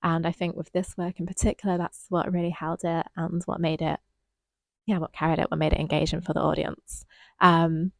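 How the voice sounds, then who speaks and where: A woman with a lowish, gravelly voice talks through a performance she saw, unhurried and reflective, with plenty of ums. The sound is dry and close, with no room around her. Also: level tone, dry recording. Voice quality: slightly croaky. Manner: thoughtful, hesitant with frequent ums. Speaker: dance reviewer co-host. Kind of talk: casual conversational review.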